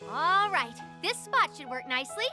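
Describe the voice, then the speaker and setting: A woman's voice: high voice, very animated, normal speed, pushed and bright, faint music behind, exaggerated voice. A woman playing a small, bossy, cheerful young scientist in a video game, with a perky, instructing manner. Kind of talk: video game cutscene acting.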